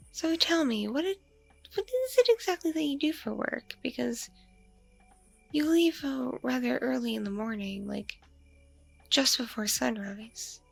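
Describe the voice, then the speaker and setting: A woman playing a mature, flirtatious, spacey female vampire in an ASMR roleplay, speaking softly and hesitantly, as if in a one-sided intimate conversation. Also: fairly high pitch, expressive, creaky, husky, faint music behind, full character voice. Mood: curious, teasing. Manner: hesitant, murmuring, lingering pauses with ums and mms. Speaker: roleplay voice performer.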